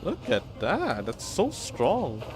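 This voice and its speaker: A man's voice: a little high, huge pitch swings. Laid-back male game streamer, music behind. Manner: laid-back, halting, slangy. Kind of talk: casual live-stream commentary.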